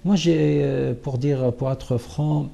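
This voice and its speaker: A man's voice: medium pitch, fairly even intonation, slow, gravelly, warm tone. A man, a writer being interviewed on TV, speaking hesitantly with many fillers and restarts. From Algeria. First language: Kabyle. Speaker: guest writer.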